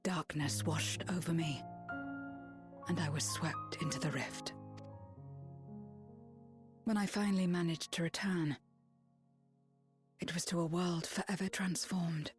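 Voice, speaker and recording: A woman voicing a guarded, lonely young woman warrior in a game cutscene, speaking in a subdued, breathy half-whisper. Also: fairly low pitch, faint music behind, natural voice. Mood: somber. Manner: subdued, reflective, measured. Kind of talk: video game cutscene dialogue.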